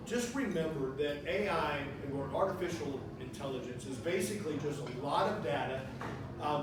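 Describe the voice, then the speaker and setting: A man with a slightly higher voice talks to a small group in a loose, rambling way, restarting his sentences often. The sound bounces around a reverberant room and comes from a distant mic. Background noise is clear underneath him. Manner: rambling, conversational, lots of restarts. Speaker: guest speaker. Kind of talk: presentation to a small audience.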